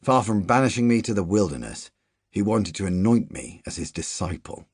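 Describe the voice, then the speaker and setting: A man reading a literary novel aloud as a first-person audiobook narrator, measured and even, dropping to quiet asides in the dialogue. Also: on the low side, husky, no room sound, studio quality.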